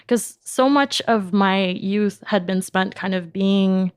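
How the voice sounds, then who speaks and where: A woman from New York, a native Spanish speaker, answering interview questions with animated storytelling and frequent laughter. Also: mid-range voice, takes it slowly, smooth, no room sound, clean studio sound. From New York, United States. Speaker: podcast company founder, guest.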